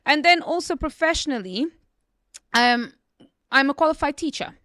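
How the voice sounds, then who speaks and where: A woman's voice: high-pitched, animated, moderate pace, bright, forceful tone, no echo, clean studio sound. A young adult woman who grew up in the UK after immigrating, with English not her first language, talking confidently and emphatically as a podcast guest. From immigrant raised in the UK. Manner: confident, emphatic, repeats "you know what I mean". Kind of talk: conversational interview.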